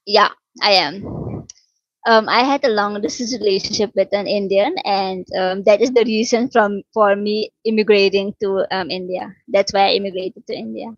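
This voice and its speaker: A woman in her twenties from Suriname, whose first language is Dutch, chatting casually with viewers, unhurried and with many fillers and restarts. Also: medium pitch, steady tone, dry recording, muffled recording. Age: 20s. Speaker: livestream host answering viewer questions. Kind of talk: casual chat.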